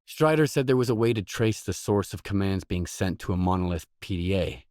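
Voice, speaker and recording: An actor voicing a gruff, guarded stalker mercenary in a video game, blunt, terse and no-nonsense. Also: male voice, low voice, lively intonation, fairly quick, a little mellow, no room sound, clean studio sound. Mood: terse, businesslike. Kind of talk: video game dialogue lines.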